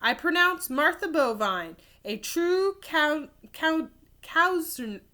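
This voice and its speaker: A woman's voice: sits high, lively intonation, slow, pushed and bright, pitched above the performer's own voice, heavily acted. A woman voicing an exasperated, earnest boy in a children's storybook, exclaiming and pleading. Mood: earnest, hopeful. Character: exasperated boy, earnest owner of a runaway cow.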